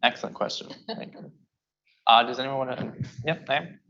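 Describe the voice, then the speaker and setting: A man's voice: mid-range voice, normal speed, sharp tone. A man moderating a panel discussion, politely passing questions along with brief remarks. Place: seminar hall before an audience. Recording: muffled lo-fi event recording.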